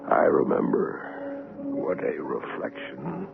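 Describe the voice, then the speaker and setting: A skeptical doctor recounting his adventure in radio drama. His low voice swings expressively in pitch. It comes through a tinny vintage broadcast. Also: wildly swooping pitch, takes it slowly, creaky, exaggerated voice. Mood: reflective. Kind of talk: 1970s radio drama acting.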